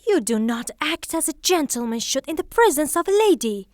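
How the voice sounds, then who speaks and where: An actress as a haughty, high-voiced widowed lady. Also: expressive, brisk, no echo, clean studio sound. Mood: scornful. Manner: formal, haughty, reproving. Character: proud, prim widowed lady, indignant and dignified. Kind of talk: theatrical audio drama acting.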